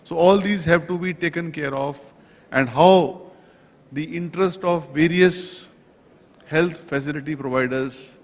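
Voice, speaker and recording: A man chairing a conference session, speaking slowly and deliberately, listing points with pauses. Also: a little high. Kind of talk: formal conference address. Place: large conference hall. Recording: reverberant conference hall.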